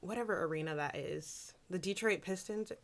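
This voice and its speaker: A woman singer from Michigan answering interview questions, soft-spoken and a little hesitant. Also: on the low side, normal speed. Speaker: singer, group member.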